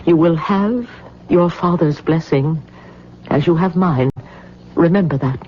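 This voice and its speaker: An actress playing a proud, mystical older woman in an old-time radio drama, speaking gravely and haltingly with theatrical weight. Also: deep voice. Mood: solemn. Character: heavy old Hawaiian woman, proud, mystical, solemn former lover. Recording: muffled, noisy old radio recording.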